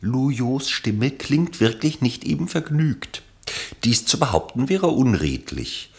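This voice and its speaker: A man's voice: medium pitch, sing-song, slow. A man narrating a literary radio play in an ironic, elevated storytelling manner.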